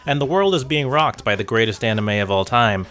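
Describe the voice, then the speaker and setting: A man's voice: mid-range voice, lively intonation, fairly quick, noisy background. A man narrating a scripted video essay in a dry, wry manner. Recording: music bed behind voice. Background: background music with guitar.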